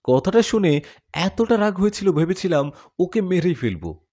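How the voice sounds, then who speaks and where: A man's voice: high-pitched, sing-song, moderate pace, mellow, pitched above the performer's own voice. A man voicing a devoted warrior jinn husband in an audio story, speaking in an earnest, dramatic, confessional way. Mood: angry, hurt.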